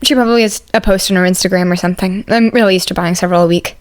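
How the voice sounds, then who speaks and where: A woman voicing a quiet, awkward young male college student with a terse, understated manner in a story reading. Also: medium pitch, steady tone, very fast, dry recording, lightly acted. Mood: reserved, awkward.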